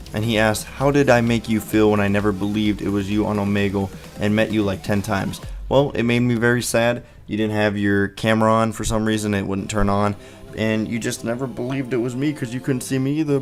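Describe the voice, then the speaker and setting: Young male gamer host, rambly Q and A, lowish voice, faint music underneath. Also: fairly even intonation, fairly quick. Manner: chatty, rambling answers with fillers. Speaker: gaming channel host. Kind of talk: casual Q and A video. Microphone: gaming headset mic.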